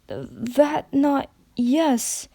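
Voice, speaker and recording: A frightened, confused mare, played by a woman in a dramatic story reading. She mutters in broken fragments, halting between words, her pitch raised and the recording dry and close. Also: steady tone, dark tone, dry recording, full character voice. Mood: pained, accusing.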